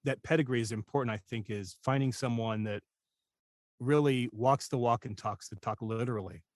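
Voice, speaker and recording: A man's voice: medium pitch, normal speed, darkish tone, no room sound, studio quality. A man talking casually as a guest voice-over coach, candid and self-deprecating as he shares anecdotes. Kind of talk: casual conversational interview talk.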